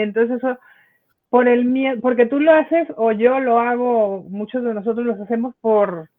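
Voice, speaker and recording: Male podcast guest, high voice, reflective and rambling, heard over thin call audio. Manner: reflective, talks in long, winding sentences. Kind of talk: casual conversation. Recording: tinny phone-like call audio.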